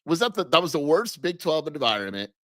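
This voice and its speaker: A man's voice: on the high side, big pitch swings, brisk, fairly bright tone, no echo, clean studio sound. A man co-hosting a sports podcast, teasing his co-host and laughing often. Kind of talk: casual sports chat.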